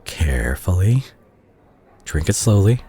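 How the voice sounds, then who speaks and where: A man playing a remorseful, tender doctor ex-boyfriend in an intimate audio roleplay, speaking softly and hesitantly to the listener. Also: low voice, very animated, a little slow, breathy edge, exaggerated voice. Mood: tender, caring. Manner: hesitant, gentle, self-conscious, sighing. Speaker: audio drama voice actor.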